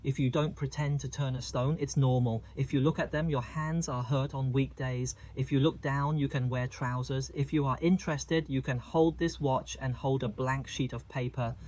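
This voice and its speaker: Mellow-voiced man explaining diamond prices. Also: mid-range voice, steady tone, normal speed, few pauses, rough recording. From Hong Kong. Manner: chatty, asks the viewer questions. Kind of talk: explaining price charts to camera.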